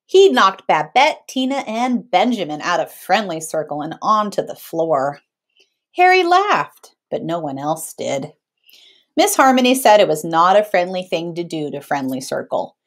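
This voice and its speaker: Woman reading aloud, very animated pitch. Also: measured pace. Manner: teacherly, checks in with listeners. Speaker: librarian reading aloud to children. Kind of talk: expressive picture-book read-aloud.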